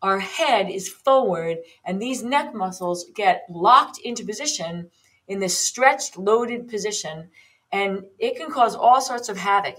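A woman's voice: medium pitch, a bit bright. A woman in her fifties, a personal trainer hosting her own podcast, explaining in a chatty way with frequent ums and restarts. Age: 50s. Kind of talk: casual solo podcast talk.

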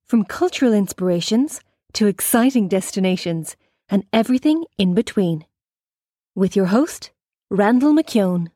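Female announcer, measured intro read, mellow tone. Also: mid-range voice, animated, dry recording, clean studio sound. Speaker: show announcer. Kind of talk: scripted show intro.

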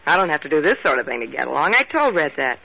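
An actress playing a scheming, cool, teasing femme fatale with an American accent in a 1940s radio detective drama. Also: female voice, deep voice, sing-song, quick pace, vocal fry, pushed and bright, exaggerated voice. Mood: coolly flirtatious. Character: sultry hard-boiled scheming femme fatale. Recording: dull, noisy old radio recording.

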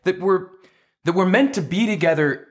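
An actor playing an earnest, persistent romantic man with a husky voice, sincere and pleading in a naturalistic audio drama style. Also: sits high, normal speed. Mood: impassioned, pleading.

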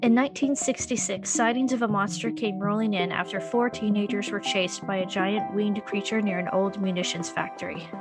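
A woman's voice: mid-range voice, fairly even intonation, normal speed, few pauses. A woman narrating a scripted audio documentary in a wry, conversational storytelling manner. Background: music underscore.

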